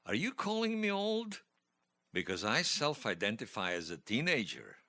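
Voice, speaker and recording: An actor playing a gentle, wistful older widower in an audio drama, teasing and tender, with a hesitant, stammering delivery. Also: male voice, mid-range voice, wildly swooping pitch, moderate pace, no room sound, full character voice. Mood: playful flirting. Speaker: interviewee telling his life story. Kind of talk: audio drama acting, personal reminiscence. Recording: music behind the voice.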